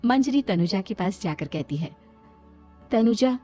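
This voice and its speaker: A woman narrator with a mid-pitched voice reads a scripted moral story. It is told as cartoon storytelling, with music playing behind her. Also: expressive, normal speed, darkish tone.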